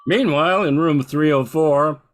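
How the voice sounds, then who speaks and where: A man's voice: a little high, measured pace, faint music behind. An older man giving scripted narration for an amateur old-time radio detective play.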